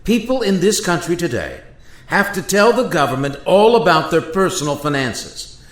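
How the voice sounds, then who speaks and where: A man's voice: high voice, a bit bright. A man playing an indignant, courtly founding father in a radio play, speaking formally and emphatically, with incredulity. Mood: grave disbelief. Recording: roomy echo.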